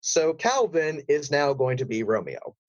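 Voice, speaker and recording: A man's voice: on the high side, big pitch swings, measured pace, dry recording. A man who works as a voice actor, talking casually as a guest in an interview.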